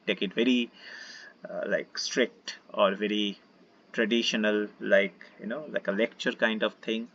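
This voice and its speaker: Indian guy with a low husky voice, easygoing teacher, kinda dull lo-fi mic. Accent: Indian English. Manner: relaxed, gentle, many fillers, invites listeners to join in. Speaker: meditation teacher. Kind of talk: casual online session talk.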